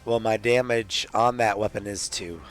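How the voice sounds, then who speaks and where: Low, even-toned man at a game table. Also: normal speed, faint music behind. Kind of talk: casual game table talk.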